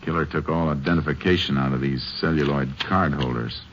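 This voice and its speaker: An actor playing a terse, authoritative Texas Ranger in an old radio western, plain and matter-of-fact. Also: male voice, very deep voice, fairly even intonation, brisk, fairly bright tone, slight background noise. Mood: stern, questioning. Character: stern, terse Texas Ranger lawman, also narrating. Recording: dull old radio drama recording.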